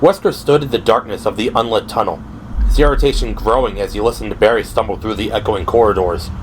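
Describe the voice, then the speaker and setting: A man's voice: lowish voice, fast, slight background noise, low-quality recording. A man delivering scripted, dramatic narration for an audio drama. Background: dramatic background music.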